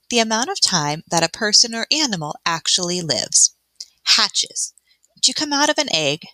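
A woman teacher reading an educational book aloud for children, adding explanations in a clear, expressive, instructional way. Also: lowish voice, dry recording. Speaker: elementary school teacher.

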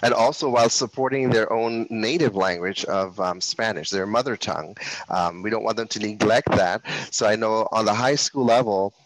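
A man hosting a podcast interview, asking questions in a measured, polite way. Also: fairly low pitch, dry recording, rough recording. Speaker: podcast host.

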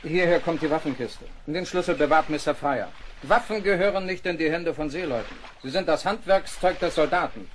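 An actor playing a stern, fault-finding naval captain in a radio play, clipped, commanding and condescending. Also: male voice, a little high, brisk, slight background noise, natural voice. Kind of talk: German radio play acting.

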